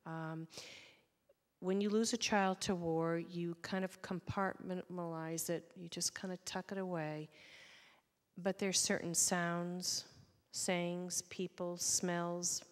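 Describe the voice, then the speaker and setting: A middle-aged woman giving personal testimony on a panel, plain-spoken and halting. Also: on the low side, little pitch movement. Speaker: panelist, bereaved mother of a soldier. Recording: reverberant hall sound.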